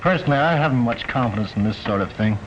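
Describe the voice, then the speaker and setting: A man's voice: mid-range voice, lively intonation, fast talker, natural voice. Dry, skeptical guest, played by a man; lo-fi 1940s radio sound. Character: skeptical man, calm and dismissive. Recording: dull old radio recording with hiss.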